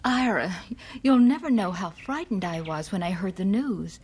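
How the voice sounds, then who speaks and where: An actress playing an anxious, tender nineteenth-century wife in a radio drama, with a hushed, hesitant way of speaking. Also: female voice, medium pitch, lively intonation, normal speed, slight background noise, natural voice. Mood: worried, tender. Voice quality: breathy, hushed. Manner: hesitant, gentle.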